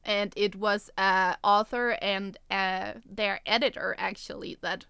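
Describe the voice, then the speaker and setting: A woman with a Danish accent chats casually as a podcast co-host. Her voice is very bright and closely recorded with a dry sound. She comes across as giggly and self-deprecating. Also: mid-range voice, fairly even intonation, unhurried, vocal fry, smooth, no echo. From Denmark. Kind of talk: casual chat.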